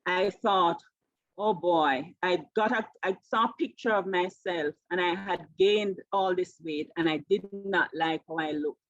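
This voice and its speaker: A woman's voice: on the low side, a little slow, no room sound. Jamaican woman in her fifties, dull audio. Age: 50s. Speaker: interview guest, keto coach. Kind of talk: conversational personal storytelling.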